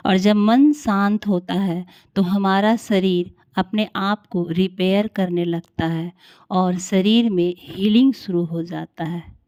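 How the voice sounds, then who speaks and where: A woman leading a guided meditation in a calm, soothing, unhurried way with long pauses. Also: medium pitch, no room sound, clean studio sound.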